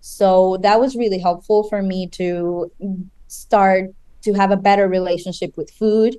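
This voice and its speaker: A woman's voice: medium pitch, fairly even intonation, a little slow, dark tone, clean tone, no room sound. Guest, woman in her twenties from Chile, earnest, some restarts, clean dry close read. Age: 20s. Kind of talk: interview.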